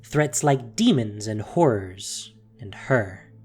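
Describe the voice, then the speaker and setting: A narrator reading a scripted fantasy story in a measured, dramatic way. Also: medium pitch, lively intonation, a little mellow, breathy edge, faint music behind.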